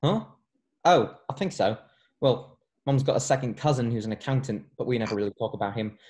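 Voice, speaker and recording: A frank young wizard boy, read by a grown man in a script table read. The voice is soft-edged and mellow at a middling pitch, casual and matter-of-fact. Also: expressive, brisk, lightly acted. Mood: chatty, glum. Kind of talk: online table read of a screenplay.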